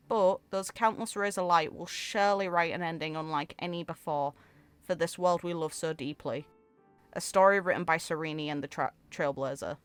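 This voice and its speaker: A sweet, romantic young game heroine, read out by a woman, tender and wistful like a storybook. The voice is smooth and clear, dry and close, over faint music. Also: fairly low pitch, fairly bright tone, no room sound, close to an everyday voice.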